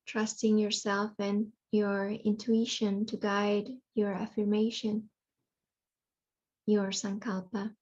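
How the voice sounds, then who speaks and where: A woman guiding a yoga nidra meditation in a soothing way, leaving long pauses between phrases. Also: medium pitch, completely flat delivery, a little mellow, dull audio. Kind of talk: guided meditation.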